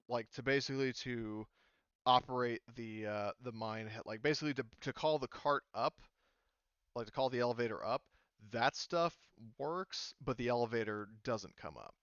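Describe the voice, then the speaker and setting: Male GM, tabletop rpg, hesitant rambling explainer, smooth clean voice, dry muffled mic. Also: mid-range voice, animated, unhurried, a bit bright, no echo. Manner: rambling, many fillers and restarts. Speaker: game master. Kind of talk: running a tabletop roleplaying game.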